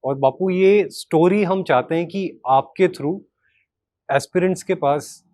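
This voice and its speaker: A man's voice: sits high, measured pace, darkish tone, dry recording. A man hosting a talk interview, mixing English words into his speech. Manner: Hindi mixed with English words. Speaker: interview host.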